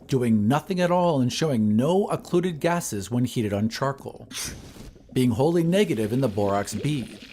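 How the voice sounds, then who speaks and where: A man reading a horror story as scripted dramatic narration, in a measured, literary storytelling manner. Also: mid-range voice, darkish tone, slight background noise. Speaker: narrator of an audio drama podcast. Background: ambient sound effects.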